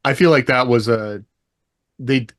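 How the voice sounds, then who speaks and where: A man's voice: mid-range voice, measured pace, no room sound, clean studio sound. A man co-hosting a podcast in casual panel discussion, restarting his sentences to qualify his points. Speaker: podcast co-host.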